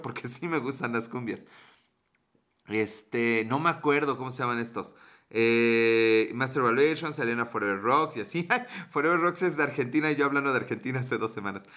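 A man's voice: mid-range voice, pushed and bright. A man with a Mexican accent chatting casually on a livestream, greeting viewers and joking around with crude slang. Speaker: streamer. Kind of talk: casual livestream chat, reading viewer comments.

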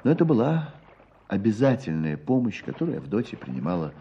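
A man's voice: fairly low pitch, big pitch swings, a little fast, warm tone. A male actor narrating an old rural tale in a literary radio drama style. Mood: measured. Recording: dull, faintly noisy radio play recording.

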